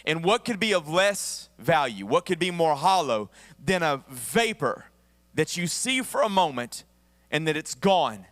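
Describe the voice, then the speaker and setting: A man's voice: on the high side, sing-song, unhurried, a bit bright, dry recording, long reverb tail. A male pastor with a Southern American accent preaching a conversational sermon with humorous asides, his voice a bit worn. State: voice somewhat worn, losing his voice. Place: church sanctuary.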